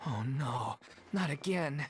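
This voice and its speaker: Anxious, lively young troupe member. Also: female voice, sits low, slow pace, soft background music. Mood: shocked, hurt.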